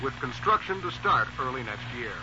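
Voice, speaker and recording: An actor playing a formal radio newsreader, measured and impersonal, heard through a radio set in a drama. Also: male voice, medium pitch, sing-song, a crowd in the background. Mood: neutral, formal. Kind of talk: news bulletin within radio drama. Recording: dull shortwave radio sound.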